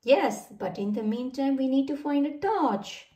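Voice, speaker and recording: A confident daddy pig from a children's storybook, read by a woman in her own high register. He explains and reassures with lively intonation, heard a little away from the mic. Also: measured pace. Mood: reassuring. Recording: roomy, distant microphone.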